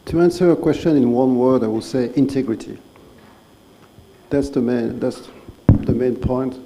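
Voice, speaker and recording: A man speaking as a conference panelist, measured and deliberate, posing pointed questions. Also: medium pitch, mellow, low-quality recording. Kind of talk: conference discussion. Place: conference hall.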